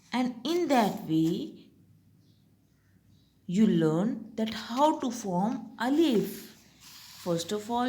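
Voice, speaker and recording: A woman's voice: a little high, darkish tone. A young woman teacher with a Pakistani English accent, patiently walking young pupils step by step through their summer tasks. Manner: slow, patient, step-by-step instruction.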